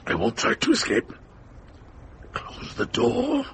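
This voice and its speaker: A man playing a wise, wry older medieval monk in a radio drama, composed and unhurried in manner. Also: very high voice, huge pitch swings, gravelly, noisy background, full character voice.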